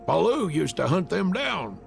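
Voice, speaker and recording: Man acting a gruff, scolding hunter father. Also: sits high, very animated, a little slow, soft background music, exaggerated voice. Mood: gruff boasting. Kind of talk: dramatic reading of a visual novel.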